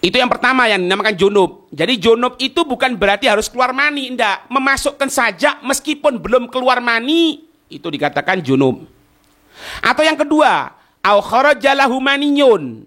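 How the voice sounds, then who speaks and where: Male religious instructor, very high bright voice, explains phrase by phrase with rhetorical questions. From East Java, Indonesia. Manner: didactic, repeats phrases, asks rhetorical questions. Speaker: Islamic religious teacher. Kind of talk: religious lesson explaining a text line by line.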